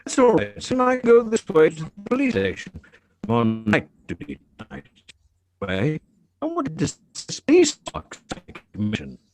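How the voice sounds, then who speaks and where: A man's voice: a little high, huge pitch swings, moderate pace, darkish tone. A man reading the part of a puzzled, plain-spoken middle-aged policeman and father in a radio play, in a folksy manner. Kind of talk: live radio drama script reading.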